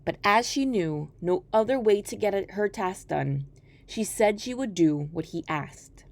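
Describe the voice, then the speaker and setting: A woman storyteller reading a folktale aloud in an expressive, scripted narration style. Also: medium pitch, measured pace, creaky.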